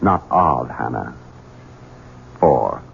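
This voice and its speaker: Deep-voiced, menacing heir. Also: male voice, expressive, creaky, dull audio, full character voice. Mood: taunting. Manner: taunting, insinuating, deliberate questioning. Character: menacing, scheming heir in his late thirties, coldly coercive. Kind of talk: 1970s radio drama acting. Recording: noisy, band-limited 1970s radio recording.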